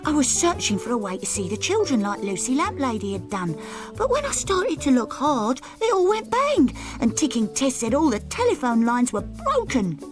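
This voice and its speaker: A woman voicing a naughty little boy in a storybook, rushed and breathless, with music behind. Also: sits high, very animated, pitched above the performer's own voice.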